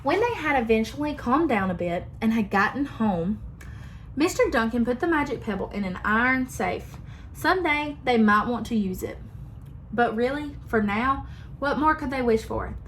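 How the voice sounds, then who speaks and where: A young woman teacher reading a picture book aloud to her class in a slow, expressive storytelling manner. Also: fairly high pitch, slight background noise.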